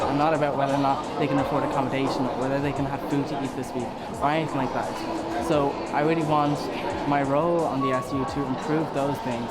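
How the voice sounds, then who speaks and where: A young man with a Dublin Irish accent answers campaign questions earnestly, with plenty of ums. He talks fast and keeps his pitch level. Clear background music plays under his voice. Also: rough recording. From Ireland. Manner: earnest, steady, with frequent ums. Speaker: student election candidate. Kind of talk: campaign video interview answers.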